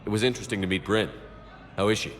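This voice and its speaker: A man playing a calm, supportive military commander in a video game, speaking in a measured, understated way. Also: lowish voice, lightly acted. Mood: level, attentive. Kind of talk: game dialogue acting. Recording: reverberant, faint music behind.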